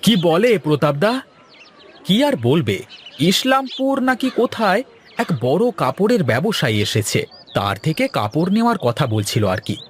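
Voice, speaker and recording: An agreeable elder-brother shopkeeper from a village cartoon, acted by a man. His voice is a bit high and swings widely as he talks, with faint noise and birds behind it. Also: a little slow. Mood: considerate. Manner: expressive, agreeable. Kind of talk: Bengali cartoon voice acting.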